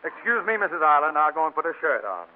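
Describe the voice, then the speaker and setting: An actor playing a boastful, amorous, easily bewildered bachelor in a 1940s radio comedy. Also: male voice, a little high, sing-song, very fast, slight background noise. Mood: sheepish, polite. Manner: stammering, eager, suggestive. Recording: tinny old radio recording.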